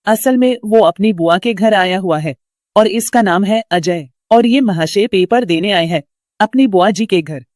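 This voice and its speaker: A woman's voice: mid-range voice, unhurried, a little mellow, dry recording. Woman narrating a story, dry close sound.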